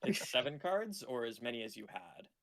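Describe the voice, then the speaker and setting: A man with a mid-pitched voice and lively, swooping intonation. He talks casually, as a player chatting with others over a card game. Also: normal speed. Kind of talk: casual table chat.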